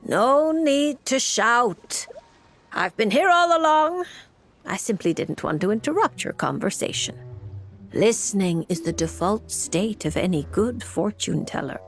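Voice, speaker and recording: A woman's voice: on the high side, expressive, measured pace, faint music behind. Languid, aloof witch fortune-teller. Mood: aloof, amused. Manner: languid, formal, cryptic, dry. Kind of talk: video game voice acting.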